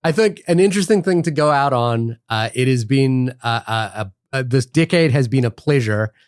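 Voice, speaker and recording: A man's voice: mid-range voice, animated, normal speed, no echo, clean studio sound. Man with a mixed New Zealand accent. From Fiji and New Zealand. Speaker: podcast co-host. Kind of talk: casual film podcast chat.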